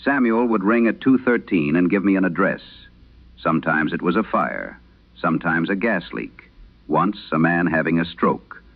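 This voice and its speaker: Very deep-voiced man narrating vintage radio horror. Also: no echo, phone-quality sound. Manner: solemn, measured, literary delivery. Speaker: horror audio drama host reading letters. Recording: band-limited, dry vintage radio sound.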